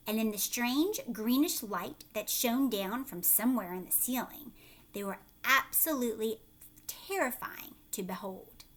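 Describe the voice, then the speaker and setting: A woman with a fairly high, very lively voice reads a children's book aloud like a teacher. She pauses for drama and stops to explain things and put questions to her young listeners.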